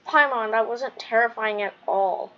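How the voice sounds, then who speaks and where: A woman's voice: on the high side, normal speed. A woman giving casual commentary while playing a game, thinking aloud in a hesitant way. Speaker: gamer streaming a playthrough. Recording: dull lo-fi mic, faint noise.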